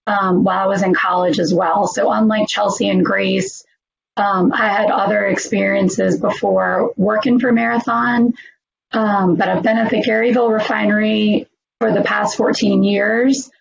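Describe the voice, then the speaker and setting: A hoarse woman, introducing herself casually as an engineering supervisor, with many pauses and ums. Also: medium pitch, steady tone, lo-fi sound. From New Orleans, United States. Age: 40s. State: hoarse, losing her voice. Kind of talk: recruiting presentation self-introduction.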